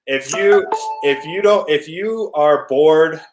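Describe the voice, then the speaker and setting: A man's voice: on the high side, slow, over music. A man hosting an interview, upbeat and encouraging in casual chat. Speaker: podcast host. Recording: echoey room.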